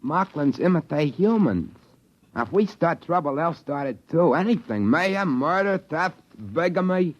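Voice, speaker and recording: A man's voice: a little high, lively intonation, moderate pace, close to an everyday voice. An actor playing a folksy, colloquial trading-post man who narrates an alien-planet tale in 1950s radio style. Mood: earnest explaining. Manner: colloquial, plain-spoken. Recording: muffled old radio recording with music.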